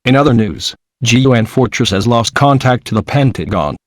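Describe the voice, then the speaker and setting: A man's voice: mid-range voice, expressive, moderate pace, no room sound, close to an everyday voice. Man as a dry-sounding male news anchor. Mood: businesslike. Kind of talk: scripted news reading.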